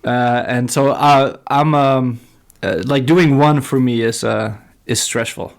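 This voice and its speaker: A man whose first language is Dutch, a guest speaking casually in English. He hesitates often, filling pauses with ums and uhs. He pokes fun at himself as he goes. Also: medium pitch, vocal fry. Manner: hesitant, many fillers and restarts, self-deprecating. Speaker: skate shop owner and guest. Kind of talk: casual podcast chat. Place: skate shop.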